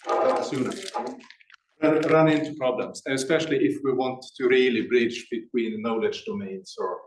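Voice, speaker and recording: A man in a working group discussion, speaking in a measured, halting way with frequent pauses. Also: mid-range voice. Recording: echoey room, distant mic.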